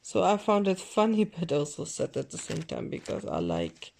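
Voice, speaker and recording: Woman with gravelly fry, rambling haul chat. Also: low-pitched, wildly swooping pitch, a little mellow. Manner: rambling, self-correcting, non-native phrasing; deliberately overdone mixed accent. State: dry throat. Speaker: fashion vlogger. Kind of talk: casual unboxing haul chat. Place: home living room.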